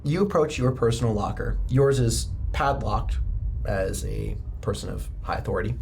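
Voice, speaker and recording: Male game master, clear room noise. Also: fairly low pitch, sing-song, normal speed, a little mellow. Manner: conversational second-person scene-setting. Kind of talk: narrating a tabletop roleplaying game.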